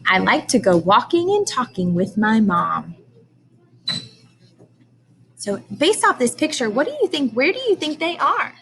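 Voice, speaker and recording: A woman's voice: on the high side, very animated, unhurried, vocal fry, long pauses, fairly bright tone, slight background noise. A woman teacher leading a read-aloud lesson for young children, prompting with questions and carefully sounding out key words. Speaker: early-grades teacher.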